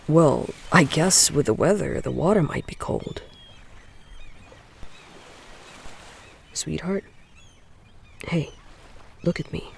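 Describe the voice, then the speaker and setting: A man acting a caring young boyfriend in an audio roleplay, soothing his girlfriend in a gentle, often hushed and breathy way. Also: on the high side, a little hiss or hum. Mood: concerned.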